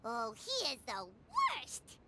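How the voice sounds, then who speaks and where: A woman voicing a small, cheerful, outspoken fairy companion in a video game, with a squeaky childlike voice and bubbly delivery. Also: wildly swooping pitch, slow pace, heavy background noise, full character voice. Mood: indignant. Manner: bubbly, exclamatory.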